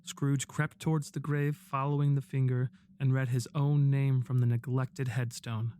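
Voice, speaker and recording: A man's voice: mid-range voice, little pitch movement, moderate pace, warm tone, slight background noise. A man reading scripted narration in a radio play.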